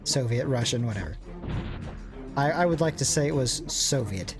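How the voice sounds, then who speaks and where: A man's voice: medium pitch, animated, moderate pace, creaky. A young man giving casual, rambling commentary on a strategy game, joking and poking fun at himself. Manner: rambling, joking, self-deprecating asides. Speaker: gaming channel host. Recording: game music playing behind. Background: game music.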